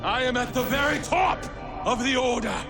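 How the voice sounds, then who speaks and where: An actor playing an arrogant British Templar grand master in a video game cutscene, grandiose and defiant, with music behind. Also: male voice, very high voice, moderate pace, gravelly. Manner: grandiose, theatrical.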